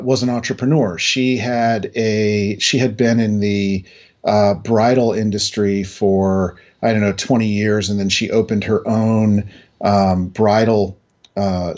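A middle-aged American man from New England speaking in a halting, reflective way as a guest in a casual interview. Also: fairly low pitch, dull audio. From New England, United States. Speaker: interview guest, industry analyst.

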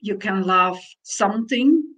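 A woman with a Dutch accent, talking things through in a slow, reflective conversation and pausing as she searches for words. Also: mid-range voice, expressive, fairly bright tone. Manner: slow, searching phrasing with pauses.

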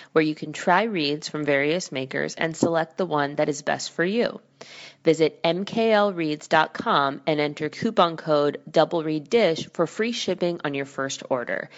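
A very low-voiced woman reading a sponsor announcement. She spells out details slowly and with care. The sound is a little dull. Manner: slow, careful spelling of codes. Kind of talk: sponsor read.

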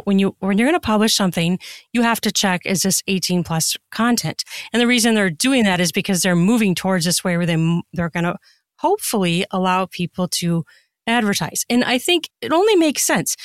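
A woman's voice: mid-range voice, no echo. Woman podcast guest, chatty and emphatic, really dry sound, casual back and forth. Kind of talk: casual interview conversation.

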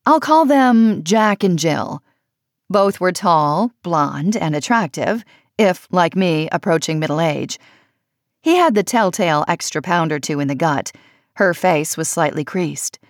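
A woman reading a personal essay as audiobook narration, in measured, expressive phrasing. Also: lowish voice, dry recording, clean studio sound.

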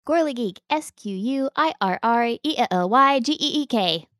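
A young woman co-hosts a casual podcast, playfully teasing her partner. Her voice moves lively up and down, and the sound is dry and close. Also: fairly high pitch, moderate pace, dry recording. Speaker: podcast co-host.

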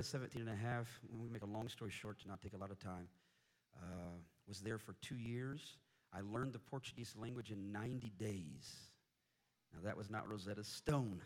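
A man in his forties from Indianapolis, a missionary preacher giving a Pentecostal sermon in a church, telling folksy, jokey stories and punctuating them with amens. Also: fairly low pitch. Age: 40s. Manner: shouting, fervent praise exhortation. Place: church sanctuary.

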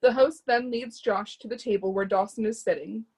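A woman's voice: a little high, fairly even intonation, normal speed, a little mellow, slightly rough. A woman reading stage directions as scripted narration for a readers theatre drama.

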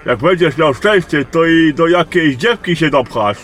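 An actor playing a bluff, bawdy camp guard in a fantasy audio drama, with a joking manner. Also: male voice, fairly high pitch, expressive, moderate pace, fairly bright tone.

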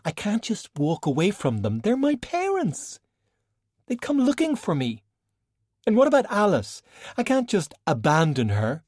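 A man voicing a stubborn, earnest young sorceress, lifted pitch. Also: wildly swooping pitch, unhurried, darkish tone, no room sound, studio quality, exaggerated voice. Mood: defiant. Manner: earnest, protesting. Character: stubborn, idealistic young woman sorcerer, pleading and defiant.

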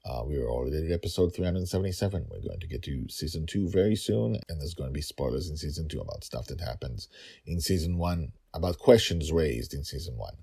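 A middle-aged man narrating a story podcast as its host and author, deliberate, with dramatic pauses and emphatic repetition. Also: very deep voice, expressive, vocal fry, mellow, breathy edge.

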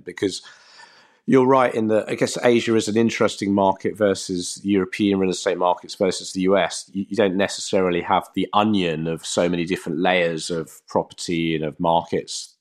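A man in London interviewing a guest, asking thoughtful, drawn-out questions. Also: low-pitched, fairly even intonation. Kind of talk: conversational interview.

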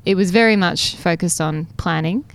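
Lowish-voiced woman co-hosting an interview. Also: moderate pace, gravelly. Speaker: podcast co-host.

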